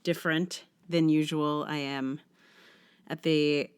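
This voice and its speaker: Middle aged lady doing her podcast, lowish voice, stuffy from a cold, kinda wry and chatty. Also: little pitch movement, takes it slowly, clean tone. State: congested with a cold. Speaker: podcast host. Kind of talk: casual intro, then reading own blog essay aloud.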